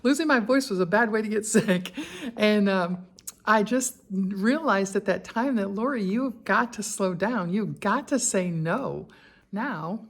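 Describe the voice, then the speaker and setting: A woman, talking candidly in a personal vlog, chatty and quick to laugh, with many asides and fillers. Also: mid-range voice. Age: 60s. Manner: conversational with frequent fillers and asides. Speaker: lifestyle vlogger.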